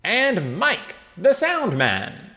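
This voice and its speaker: A man voicing a jolly, bumbling town mayor making a loud public speech in a comic audiobook. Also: high-pitched, wildly swooping pitch, very slow, long reverb tail, pitched above the performer's own voice, full character voice. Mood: proud, excited. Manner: loud, exclamatory public address.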